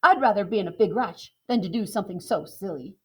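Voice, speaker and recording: A woman's voice: medium pitch, animated, a bit bright, husky. A woman voicing a brusque male fox who is always in a hurry. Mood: impatient. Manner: brusque, hurried.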